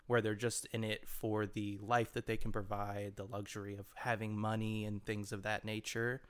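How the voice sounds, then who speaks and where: A man in his twenties, co-hosting a podcast, talking in relaxed, reflective, hedged run-on sentences. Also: fairly low pitch, normal speed, clean tone. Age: 20s. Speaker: podcast co-host. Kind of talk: casual chat.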